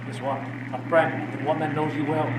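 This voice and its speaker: Man acting an anxious, high-voiced friar, echoing. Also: little pitch movement, normal speed, natural voice. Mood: wary. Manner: theatrical, alarmed, exclamatory. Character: anxious male friar, fearful and sorrowful. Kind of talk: Shakespearean radio drama acting. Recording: echoey space with faint noise.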